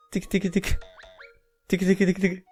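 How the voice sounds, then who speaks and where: Man putting on excitable, higher-pitched young game characters. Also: husky, heavily acted. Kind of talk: amateur character read-aloud.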